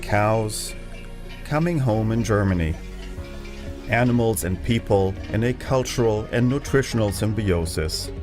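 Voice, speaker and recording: A man reading scripted documentary narration in a measured, polished way. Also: fairly low pitch, animated, over music.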